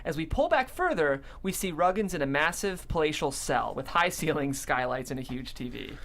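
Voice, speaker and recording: Animated woman reading stage directions. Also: low-pitched, normal speed. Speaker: host and stage direction reader. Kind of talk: scripted narration at a comedy table read.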